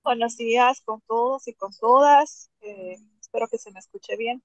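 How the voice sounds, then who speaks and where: A woman's voice: fairly high pitch, animated, moderate pace, vocal fry, dry recording, rough recording. A woman introducing herself politely on a video call from outdoors in a park. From Peru. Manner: polite and friendly. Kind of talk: casual self-introduction.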